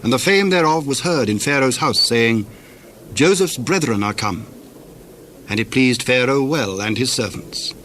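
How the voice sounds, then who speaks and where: A man's voice: medium pitch, animated, a little slow, a bit bright. A man narrating scripture from a script in a solemn, formal manner. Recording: noisy old dramatized audio recording.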